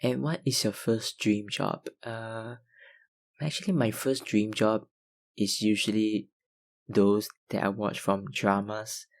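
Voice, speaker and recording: Young male ASMR creator, low-pitched, unhurried, filler-heavy fan Q&A. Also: fairly even intonation. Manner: hesitant, lots of ums and likes, soft laughs. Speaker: ASMR YouTuber answering viewer questions. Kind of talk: casual Q&A chat.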